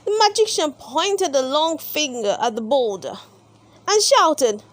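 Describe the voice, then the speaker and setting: A woman reading a children's story aloud as host, with deliberate, dramatic emphasis. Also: high voice, big pitch swings, dry recording. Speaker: storybook reader and channel host. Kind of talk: expressive children's read-aloud narration.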